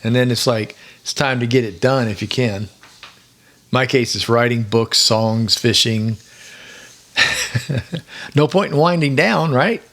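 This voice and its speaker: A retired man in his sixties hosting his own podcast, hoarse from singing, chatting in a rambling, easygoing storyteller manner. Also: medium pitch, slow, pauses often, a bit bright. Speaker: podcast host. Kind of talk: casual solo podcast monologue.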